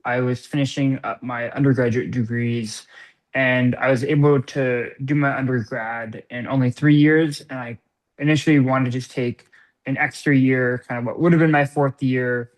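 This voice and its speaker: A man's voice: medium pitch, fairly even intonation, normal speed, low-quality recording. A young man from the eastern United States introducing himself casually as a participant on a video call. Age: young adult. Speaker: program participant being interviewed.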